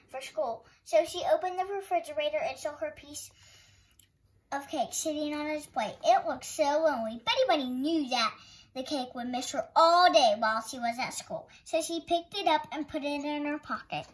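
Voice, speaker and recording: A woman reading a children's picture book aloud as a storytime host, in an expressive storytelling style. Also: sits high, normal speed.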